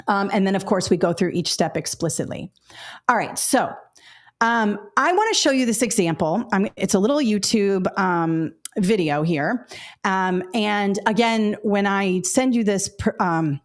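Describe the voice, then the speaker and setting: Loud, chatty woman running a webinar. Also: medium pitch. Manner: chatty, candid, animated storytelling. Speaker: webinar presenter, former teacher. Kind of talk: casual webinar talk to teachers.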